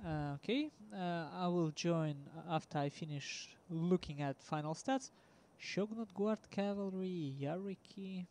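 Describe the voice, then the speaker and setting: A man with a Russian accent casually commentating a strategy game match, talking haltingly with many ums and uhs and small laughs. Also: high voice, darkish tone. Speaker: esports commentator.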